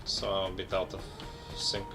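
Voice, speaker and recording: A man from Bulgaria with a Bulgarian accent, chatting casually to his viewers while he plays a game on a live stream and rambles between pauses. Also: low-pitched, monotone, gravelly. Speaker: gaming streamer. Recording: loud game music behind.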